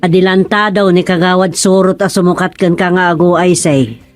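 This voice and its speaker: An actress with an Ilocano Filipino accent playing a blunt, needling village wife who asks pointed questions in a radio comedy drama. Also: female voice, lowish voice, fairly even intonation, moderate pace, over music, natural voice. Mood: reproachful, caring.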